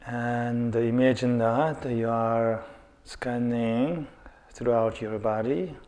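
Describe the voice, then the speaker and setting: Male meditation guide, very slow delivery, level mid-range pitch, short phrases with long pauses. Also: fairly even intonation, darkish tone. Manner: unhurried, pausing between short phrases.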